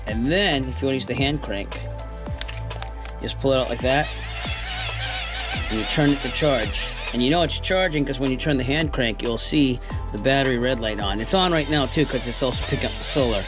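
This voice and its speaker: A man giving a casual, plain-spoken product review, explaining things step by step as he talks. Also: mid-range voice.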